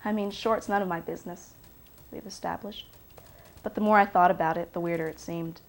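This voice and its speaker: Actress playing aggrieved ex-girlfriend, creaky voice. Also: fairly low pitch, steady tone, brisk, close to an everyday voice. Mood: puzzled. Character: aggrieved, curious ex-girlfriend giving testimony about her lover. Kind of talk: naturalistic film monologue, testimony-like.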